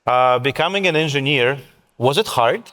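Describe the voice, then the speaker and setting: A man moderating a panel on stage in a semi-formal, halting way, repeating words for emphasis. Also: medium pitch, expressive, fairly bright tone. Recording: reverberant conference hall.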